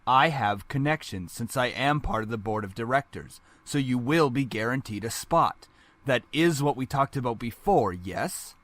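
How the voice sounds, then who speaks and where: A man's voice: medium pitch, lively intonation, normal speed, a bit bright, no echo, lightly acted. A man playing a cold, domineering middle-aged father in a visual novel, stern and condescending in manner. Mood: cold, commanding. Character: cold, domineering, angry father and business executive.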